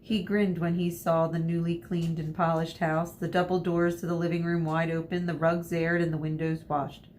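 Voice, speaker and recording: Lower-voiced woman reading a story aloud, noisy recording. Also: little pitch movement, moderate pace, few pauses, a little mellow. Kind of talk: storybook read-aloud with short asides. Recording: noisy with low rumble.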